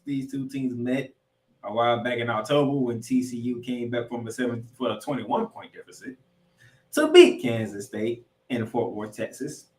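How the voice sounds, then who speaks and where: A man hosting a sports podcast, giving football preview commentary in an announcer-like way. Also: medium pitch. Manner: announcer-like, addresses listeners as ladies and gentlemen. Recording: roomy echo, distant from mic.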